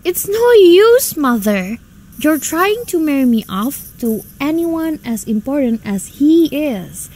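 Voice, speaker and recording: An actress playing a timid, reluctant young daughter in a radio drama, hesitant and protesting. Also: female voice, high voice, lively intonation, slow pace, darkish tone, slight background noise, poor audio. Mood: anxious protest.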